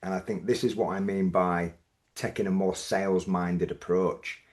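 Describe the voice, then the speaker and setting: A man with a Yorkshire accent, a business owner talking as a podcast guest, thoughtful and measured, often restarting his sentences. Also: sits low, steady tone, darkish tone. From Yorkshire, England. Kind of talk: reflective podcast interview.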